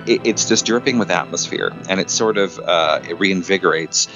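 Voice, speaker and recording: A man's voice: sits low, normal speed, vocal fry, muffled recording, over music. A man speaking as an interview guest in an effusive, enthusiastic way, repeating phrases for emphasis. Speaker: podcast guest, filmmaker and actor.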